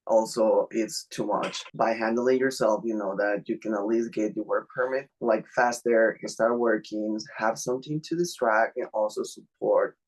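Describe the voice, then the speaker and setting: A man from Mexico whose first language is Spanish, speaking English with a Mexican accent as an interview guest, earnest and a little halting, over a video call. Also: lowish voice, fairly even intonation, rough recording. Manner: earnest, halting non-native phrasing.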